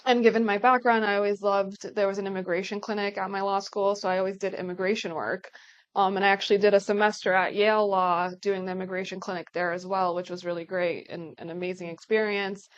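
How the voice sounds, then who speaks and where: A chatty young woman from Brooklyn whose first language is Polish, speaking at an average pitch. She tells her story as a guest attorney in a relaxed podcast interview, full of quick asides. The recording sounds a little dull and lo-fi. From Brooklyn, New York.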